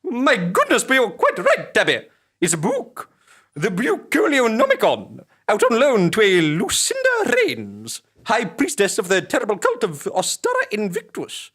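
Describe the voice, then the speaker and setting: A man's voice: sits high, wildly swooping pitch, a little slow, a bit bright, exaggerated voice. A man playing a pompous, fussy office boss, petty and punitive, in a comic audio drama. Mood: officious outrage.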